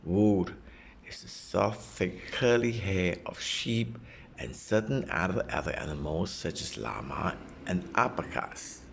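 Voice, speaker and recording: A man reading a children's nonfiction book aloud as a parent would, in a deliberate way suited to a young child. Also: deep voice, animated, creaky, a bit bright, slight background noise. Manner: deliberate, repeating and simplifying phrases for a child.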